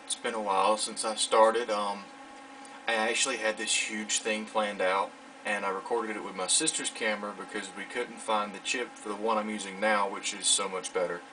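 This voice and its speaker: A man's voice: fairly low pitch, little pitch movement, moderate pace, pushed and bright, slight background noise. A man in his late teens chatting casually in a vlog, rambling in an informal, self-deprecating way. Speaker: vlogger. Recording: distant mic, clear music behind.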